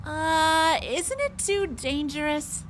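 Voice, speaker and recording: Woman acting young female mech soldier, audio drama, eager, slow-paced, animated pitch. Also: heavily acted. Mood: curious. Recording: faint background noise and ambience.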